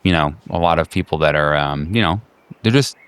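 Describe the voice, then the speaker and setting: A man's voice: rumbling low, fairly quick, fairly bright tone, clean tone, no room sound. A man playing an awkward, worried suburban neighbor in his thirties in a tabletop game, hesitant and rambling. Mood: guarded, rambling.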